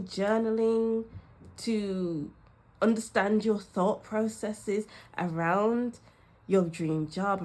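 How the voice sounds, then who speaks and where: A young woman with a cold, chatting casually and laughing often as she gives a tarot reading. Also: a little high. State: has a cold, sniffly. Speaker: tarot reader.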